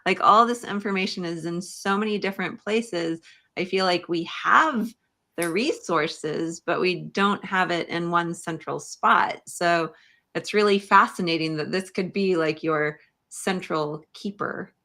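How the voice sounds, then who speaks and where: A woman's voice: lowish voice, a little slow, pushed and bright. A woman hosting a casual interview, reflective and appreciative, thinking aloud as she talks. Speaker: podcast host.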